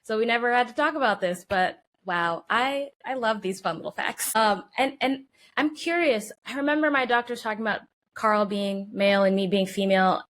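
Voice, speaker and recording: A woman's voice: fairly high pitch, normal speed, fairly bright tone. A woman co-hosting a podcast interview, asking questions in a casual, conversational way. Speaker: podcast co-host.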